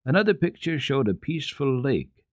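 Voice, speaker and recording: A man reading a classic children's story aloud as a radio narrator, with expressive, deliberate phrasing and a storyteller's emphasis. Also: mid-range voice, no echo, studio quality.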